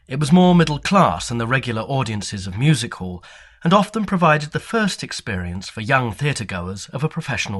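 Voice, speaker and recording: A man reading scripted documentary narration in a measured, formal, lecturing manner. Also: mid-range voice, a bit bright.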